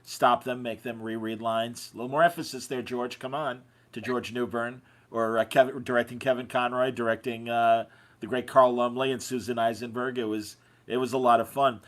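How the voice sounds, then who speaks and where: A man's voice: medium pitch, level tone, moderate pace, a bit bright. A man hosting a podcast in casual conversation, telling anecdotes with enthusiasm. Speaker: podcast host. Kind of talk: casual chat.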